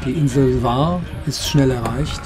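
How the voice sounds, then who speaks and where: A man's voice: medium pitch, measured pace. A middle-aged man narrating a sailing trip as its skipper, in a style that moves between scripted storytelling and spontaneous recollection. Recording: music behind the voice. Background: music.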